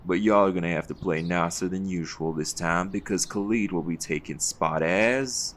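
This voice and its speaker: An actor playing a calm, authoritative vigilante leader in an audio roleplay, with a folksy, firm way of talking. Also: male voice, sits low, normal speed, slight background noise, lightly acted. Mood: firm, patient.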